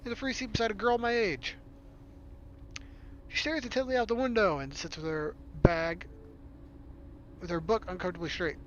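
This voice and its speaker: A man's voice: sits high, moderate pace, long pauses, dull audio, slight background noise. A man reading a visual novel aloud as a let's player, in a casual first-person manner. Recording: loud background music under voice.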